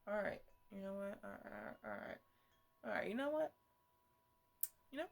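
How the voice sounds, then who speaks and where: A woman's voice: medium pitch, lively intonation, normal speed, gravelly. A woman playing a teasing, slangy botanist who chats to her plants in a casual ASMR roleplay. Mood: teasing, scolding. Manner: rambling, bantering, slangy.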